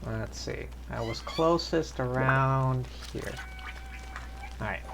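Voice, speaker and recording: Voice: medium pitch, takes it slowly, gravelly, background noise. A streamer chatting casually and thinking aloud while playing a game live. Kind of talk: casual live gameplay commentary.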